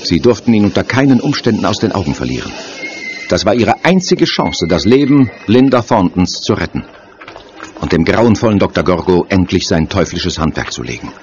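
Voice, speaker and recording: A man's voice: deep voice, sing-song, moderate pace, noisy background. A man narrating a German horror audio drama as a grave, dramatic storyteller. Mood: urgent, dramatic. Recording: dull audio-drama tape.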